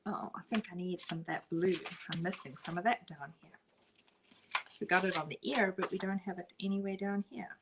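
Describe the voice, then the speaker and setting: A middle-aged South African woman leading a live painting tutorial, chatting with viewers, laughing often and dropping to soft murmurs as she works. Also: on the low side, a little fast, noisy background. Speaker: art instructor.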